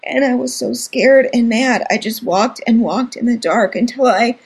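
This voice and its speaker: A woman voicing an earnest, timid young boy who speaks quietly and slowly to his older sister. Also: mid-range voice, expressive, creaky, breathy edge, exaggerated voice. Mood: tearful.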